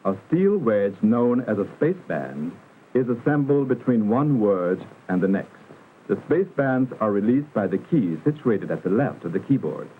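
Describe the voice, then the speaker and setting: A man narrating a 1960s educational film in a formal, instructional manner with careful, measured enunciation. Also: lowish voice, very animated. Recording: muffled vintage film soundtrack, narrow band, hiss.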